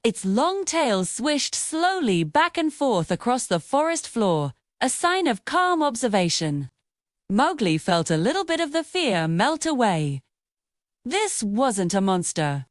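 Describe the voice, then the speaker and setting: A woman narrating a scripted children's bedtime story in an expressive, dramatic storytelling manner. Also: fairly high pitch, slow, no room sound, studio quality.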